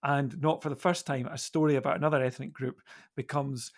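A man with a very creaky, medium-pitched voice speaks as a podcast panellist. He explains things thoughtfully, with halting phrasing. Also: fairly even intonation. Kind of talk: explanatory discussion.

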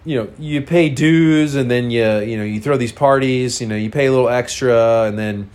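A man's voice: mid-range voice, moderate pace, a little mellow. A man with a congested, nasal voice, casually telling a frank, rambling personal story full of fillers.

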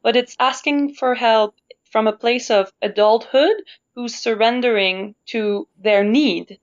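A woman's voice: fairly high pitch, measured pace. Woman from Canada, opera singer guest, thoughtful and searching, muffled lo-fi sound. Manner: thoughtful, searching for words, laughs easily. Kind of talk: reflective conversational interview. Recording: dull lo-fi sound.

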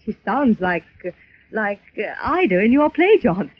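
A woman's voice: mid-range voice, sing-song, unhurried, a little hiss or hum. An actress playing a refined, inquisitive society woman in an old radio drama. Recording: dull old radio recording.

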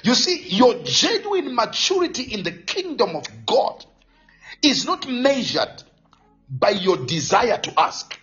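A man's voice: extremely high pitch, very animated, slow, a bit bright. A man preaching a charismatic sermon in a declamatory, repetitive, exhorting manner, stretching key phrases. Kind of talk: charismatic Pentecostal sermon with bursts of tongues. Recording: dull lo-fi sound, faint music behind.

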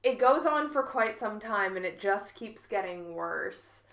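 Unhurried woman co-host, dry wit, bright tone, roomy echo. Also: medium pitch, expressive. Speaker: podcast co-host. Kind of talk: casual comedic chat.

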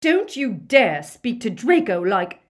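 A woman's voice: lowish voice, animated, a little slow, a little mellow. A woman voicing a proud, indignant lady of the house in an audiobook, speaking haughtily.

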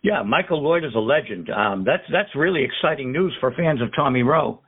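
A man hosting a radio show, interviewing a guest with slow, affirming questions. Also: medium pitch, animated, slightly rough. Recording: phone-like line.

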